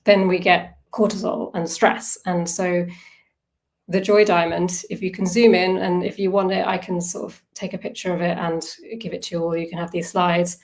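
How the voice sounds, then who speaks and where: Woman, slightly low pitch, workshop facilitator, informal online delivery, conversational, thinking aloud, questioning. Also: little pitch movement, normal speed, darkish tone. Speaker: workshop facilitator on self-soothing.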